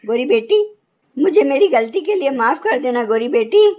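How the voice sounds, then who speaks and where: A woman's voice: high voice, animated, moderate pace, darkish tone. A woman voicing an older, domineering mother-in-law bird in a cartoon story, with a melodramatic, scolding manner. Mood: remorseful. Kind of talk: animated moral story acting.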